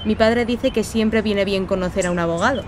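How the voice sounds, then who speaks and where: A woman's voice: mid-range voice, brisk, close to an everyday voice. Woman acting friendly chatty college girl, eager to help, audio drama scene with street noise. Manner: bright-eyed, chatty, obliging. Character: friendly, helpful young female college student, trusting. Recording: noisy outdoor street ambience.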